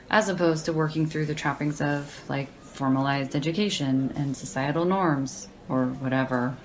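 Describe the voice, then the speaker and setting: An actress playing a sardonic, deadpan young woman in casual audio drama banter, with a gravelly creak and a dry, teasing delivery. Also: sits low, poor audio. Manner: dry, teasing, slangy, unimpressed. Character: deadpan, sardonic young woman who loves horror paperbacks.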